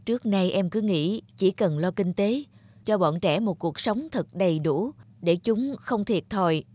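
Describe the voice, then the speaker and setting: A man playing an earnest, devoted middle-aged father in an animated moral tale, speaking in a measured way. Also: sits high, no echo. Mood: earnest, caring. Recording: music under the voice.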